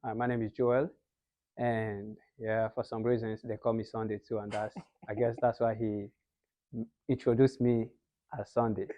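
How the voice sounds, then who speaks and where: A man's voice: fairly low pitch, level tone, moderate pace, dark tone. A young man from Nigeria with a Nigerian accent, a guest in a casual interview who explains things in an earnest way. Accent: Nigerian English. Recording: slightly muffled lo-fi sound.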